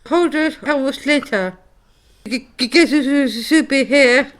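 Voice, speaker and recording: A woman playing a blunt, loyal young care home resident in an audio drama, speaking plainly and haltingly. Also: high voice. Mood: plain, excitable.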